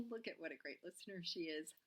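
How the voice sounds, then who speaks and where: A woman's voice: deep voice, fast talker. A middle-aged woman, a schoolteacher, speaking casually as she introduces a read-aloud for young children. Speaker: first-grade teacher.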